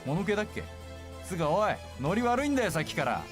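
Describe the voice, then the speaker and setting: An actor playing a brash, cocky young brawler in a visual novel, with rough, slangy, taunting speech. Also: male voice, high voice, very animated, rapid-fire. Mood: teasing, irritated. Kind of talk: Japanese visual novel voice acting. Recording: music behind the voice.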